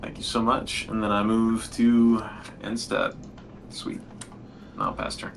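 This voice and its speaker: A man's voice: on the low side, little pitch movement, unhurried, gravelly, slight background noise. A man playing a card game who calls out his turns and cracks friendly jokes. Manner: joking, friendly table talk. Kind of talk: casual game-table chat.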